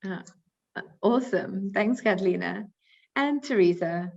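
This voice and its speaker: South African woman host, mid pitch, conversational, slightly dull call audio. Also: a little slow, vocal fry, a little mellow. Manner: friendly, frequent um and uh fillers. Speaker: webinar host, recruiting coordinator. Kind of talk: hosting a panel webinar. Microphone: video call.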